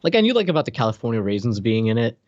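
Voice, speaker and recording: A man's voice: mid-range voice, big pitch swings, very fast, darkish tone, no echo, muffled recording. A man in his thirties chatting casually as a podcast co-host, teasing and joking, on a close desk microphone. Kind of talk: casual chat. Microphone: close desk microphone, video call.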